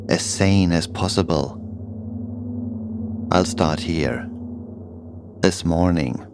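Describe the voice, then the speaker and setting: A man narrating a scripted first-person horror story as a podcast host. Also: deep voice.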